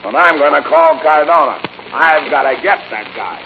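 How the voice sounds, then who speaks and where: An actor playing a stubborn, sarcastic police commissioner, blunt and insistent, in a 1940s radio crime drama. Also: male voice, a little high, animated, normal speed, vocal fry. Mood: exasperated. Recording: muffled, noisy 1940s radio recording.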